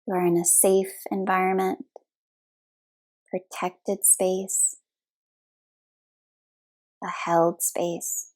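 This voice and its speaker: A woman leading a guided relaxation meditation as a yoga nidra instructor, speaking soothingly in short, unhurried phrases with long pauses. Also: fairly low pitch, completely flat delivery, darkish tone.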